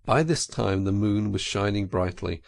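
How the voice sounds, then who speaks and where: A man narrating an audiobook in an expressive, theatrical and deliberate storytelling style. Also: fairly low pitch, creaky.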